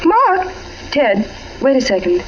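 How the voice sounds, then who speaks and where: An actress playing a poised, evasive wife in a 1940s radio mystery. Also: female voice, high-pitched, big pitch swings, takes it slowly, full character voice. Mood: pleading. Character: Marie, poised, evasive young suburban wife. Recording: muffled, noisy 1940s radio recording.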